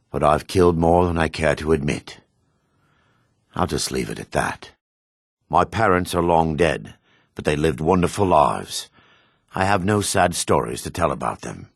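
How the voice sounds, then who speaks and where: A gruff dark elf warrior in a fantasy video game. His voice is gravelly and husky, recorded very dry and close. He is proud and combative, with a theatrical delivery. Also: rumbling low, dry recording, exaggerated voice. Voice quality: gravelly, rasping.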